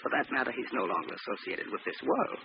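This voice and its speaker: A man playing a cold-blooded, gloating villain scientist with mock-courteous, deliberate speech in 1940s radio drama style. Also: mid-range voice, very animated, creaky. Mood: gloating, amused. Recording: muffled, noisy old radio recording.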